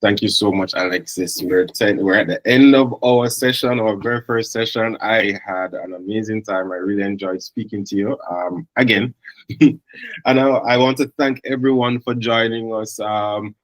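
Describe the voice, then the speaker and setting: Low-voiced man hosting a video session. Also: moderate pace, no room sound, poor audio. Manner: friendly, thanking and wrapping up. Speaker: host.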